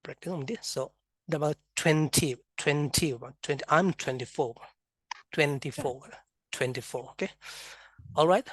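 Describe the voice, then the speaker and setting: A man with a Karbi accent teaching an English class, drilling words and sounds slowly and having students repeat. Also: a little high, fairly bright tone, slightly rough, no room sound. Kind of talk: classroom lesson, mixing local language and English.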